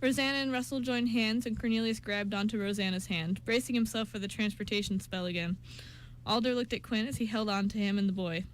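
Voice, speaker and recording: A woman's voice: mid-range voice, fairly even intonation, brisk, a little hiss or hum, low-quality recording. A woman reading scripted story narration as the narrator of a radio drama.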